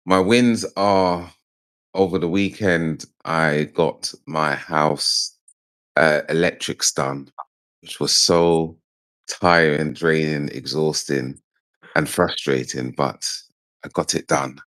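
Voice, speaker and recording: A tired man introducing himself on a video call, formal and deliberate. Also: a bass voice, a bit bright, dry recording. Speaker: trainer and motivational speaker.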